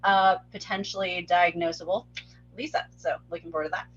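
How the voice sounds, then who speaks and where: A woman's voice: lowish voice, steady tone, a little fast, slightly rough. Casual woman on a dull lo-fi mic. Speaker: actor in a table read. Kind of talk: casual chat.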